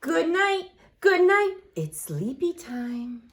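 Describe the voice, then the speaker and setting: A woman leading a library storytime for children, singing along and reading picture books aloud in an expressive, exaggerated way. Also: very high voice, takes it slowly, slight background noise. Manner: expressive, exaggerated delivery aimed at small children. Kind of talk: animated children's sing-along and picture-book read-aloud.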